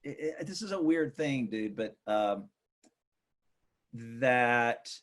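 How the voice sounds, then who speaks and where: Male podcast guest, average pitch, conversational, somewhat hesitant as he thinks aloud. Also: animated, slow pace, fairly bright tone. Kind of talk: casual chat.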